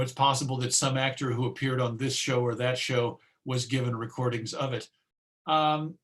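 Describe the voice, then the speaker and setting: A man presenting an informal online talk and answering questions in a hesitant, musing way, often pausing mid-phrase. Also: medium pitch. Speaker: presenter and old-time radio collector.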